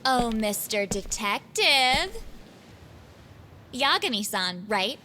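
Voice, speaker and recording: A bright, youthful voice of a cocky student in a video game drama. The delivery is casual and familiar, with clear ambient noise behind. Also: female voice, a little high, sing-song, slow, exaggerated voice. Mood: breezy. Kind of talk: English video game dub acting. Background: background ambience.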